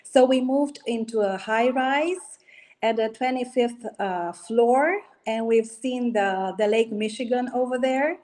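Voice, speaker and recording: A woman's voice: fairly high pitch, measured pace, darkish tone. Woman, late fifties, hesitant. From Romania. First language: Romanian. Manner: hesitant, many fillers, laughs often. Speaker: guest. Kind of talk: casual interview.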